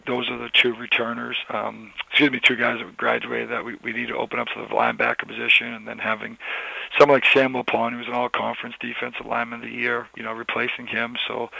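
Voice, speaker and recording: A hoarse man, a college football head coach, answering interview questions in a rambling way with many restarts and fillers. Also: medium pitch, bright, forceful tone, no room sound, rough recording.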